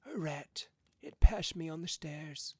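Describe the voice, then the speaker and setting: A man's voice: a little high, slow, mellow, husky, dry recording, voice pushed up. A man voicing a fearful old husband and father in a story reading, shaky and protesting in alarm.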